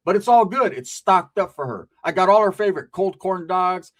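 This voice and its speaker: A man's voice: high voice, expressive, moderate pace. Swearing, rambling male storyteller. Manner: rambling, swearing, tags lines with "right?". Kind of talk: profane comedic storytelling.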